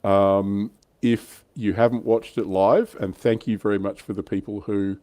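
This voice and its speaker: A man's voice: fairly low pitch, steady tone, measured pace, dark tone, no room sound. A middle-aged man, hosting a casual livestream chat about tabletop wargaming. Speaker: livestream host.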